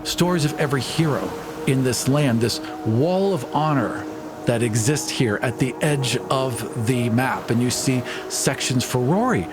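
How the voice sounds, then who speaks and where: Man narrating over loud music. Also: mid-range voice. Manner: descriptive, unhurried storytelling. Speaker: game master. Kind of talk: narrating a tabletop game.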